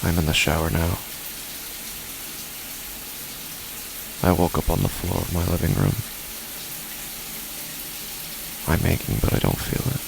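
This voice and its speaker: A man playing a grieving, sleepless man in a horror audio drama, brooding and halting, in a gravelly, breathy near-whisper. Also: sits low, very animated, noisy background, full character voice. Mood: weary, flat. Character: grieving, hollow, sleepless man wandering at night. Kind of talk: scripted first-person horror audio fiction narration.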